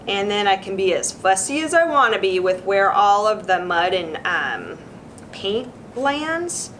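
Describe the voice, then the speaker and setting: A woman hosting a children's craft session, showing the steps in an informal, encouraging, chatty way. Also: a bit bright. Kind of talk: casual craft demonstration for children. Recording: clear background noise with low rumble.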